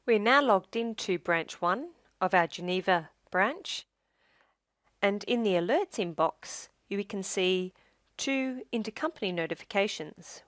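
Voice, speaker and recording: A woman narrating a scripted software tutorial as a demonstrator, in a measured, step-by-step instructional way. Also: medium pitch, dry recording, clean studio sound.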